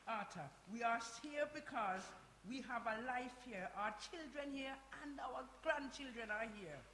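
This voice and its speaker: An actress playing a brisk, insistent grandmother who runs a community centre, in a live radio play. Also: fairly high pitch, fairly bright tone. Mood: firm, exasperated. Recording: echoey hall, hiss and noise.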